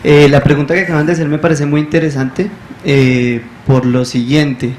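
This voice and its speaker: A man from Colombia, a librarian speaking from the audience at a symposium, earnest and deliberate, often restarting mid-phrase. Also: mid-range voice, a little mellow. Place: conference hall. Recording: echoey hall with faint noise.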